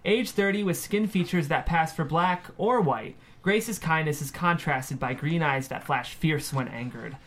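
Higher-pitched man reading screenplay directions. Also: a little slow, few pauses, a bit bright. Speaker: stage-direction narrator at a table read. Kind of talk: scripted narration of screenplay directions.

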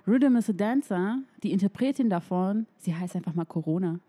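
A woman's voice: medium pitch, expressive, a little fast, dark tone, breathy edge. A woman co-hosting a casual chat, teasing and joking with her friend. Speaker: podcast co-host.

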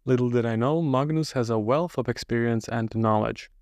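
A man's voice: medium pitch, moderate pace, darkish tone, dry recording. Man hosting a podcast, dry close sound. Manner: many short backchannel murmurs. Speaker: podcast host.

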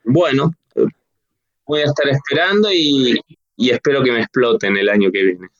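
A man's voice: mid-range voice, normal speed, no echo. Argentine man, forties, rambling guest, dull dry lo-fi sound. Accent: Argentine Spanish. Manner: rambling, many restarts and fillers. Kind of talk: casual interview.

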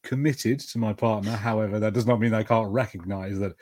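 Low-pitched man chatting, close and dry. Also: steady tone, fairly quick, darkish tone, no echo. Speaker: podcast co-host. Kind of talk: casual film commentary chat.

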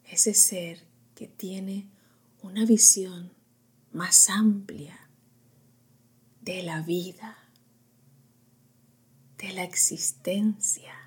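Very breathy, slow woman guiding meditation. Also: mid-range voice, fairly even intonation. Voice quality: breathy, whispery. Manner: slow, hushed delivery in short phrases with long pauses. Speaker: meditation guide. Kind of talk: guided meditation, soft and soothing.

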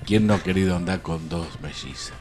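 A man's voice: low voice, fairly quick, darkish tone, soft background music, close to an everyday voice. A man playing a cheeky celebrity guest who reminisces in a live comedy radio play. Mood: teasing, nostalgic.

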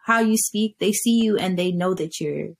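A woman's voice: mid-range voice, normal speed. A young woman from Kenya, a singer, talking reflectively about her life in a casual interview, laughing easily and stretching some words. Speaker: guest singer.

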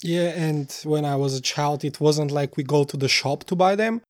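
Earnest man, slightly high voice. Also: normal speed. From Bulgaria. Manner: earnest, searching for words, many restarts. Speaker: startup co-founder. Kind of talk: interview answers.

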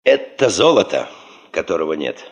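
A man's voice: low voice, sing-song, moderate pace, vocal fry, full character voice. An actor in a Soviet radio play as a driven, stubborn male geologist chief, terse, insistent and commanding.

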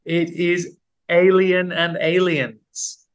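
Playful quizmaster man, slightly high voice. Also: level tone, drawn-out words. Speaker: podcast co-host running a quiz. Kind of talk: casual comedic chat.

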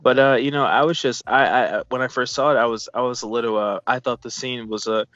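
Hesitant mid-pitched man, slightly muffled sound. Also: flat delivery, fast talker, fairly bright tone, dry recording. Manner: hesitant, rambling, repeating phrases. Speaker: film review co-commentator. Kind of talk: casual film discussion. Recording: dull sound with music behind.

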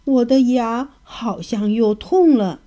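A woman's voice: medium pitch, animated, brisk, mellow, husky, muffled recording. A woman voicing a greedy, whiny little goldfish in a children's story. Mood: whimpering. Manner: whiny, fearful.

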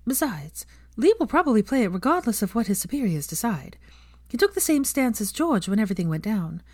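Earnest, defiant young witch for a story reading, played by a woman; highly animated intonation, close dry sound. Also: fairly quick, no room sound. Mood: sly, chatty.

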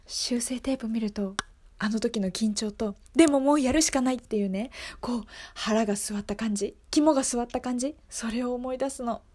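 A woman performs a flustered young woman in an improvised radio drama. Her voice is high and slightly breathy, and she confides anxiously, repeating herself with urgency and sighing. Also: a little fast, dark tone, close to an everyday voice. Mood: tense reminiscing. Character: flustered woman anxiously recalling a graduation exam.

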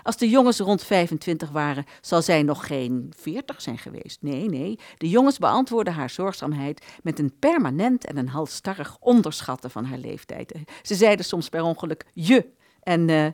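Low, very expressive woman narrator. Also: normal speed, no room sound, clean studio sound. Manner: expressive storytelling. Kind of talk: scripted literary audio drama narration.

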